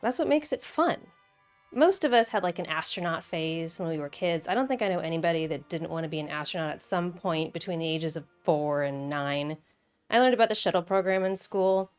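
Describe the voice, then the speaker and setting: An American woman presents a video essay in a voice a little lower than most. Her delivery is conversational and playful, full of casual asides. Soft music plays faintly behind her. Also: a little fast.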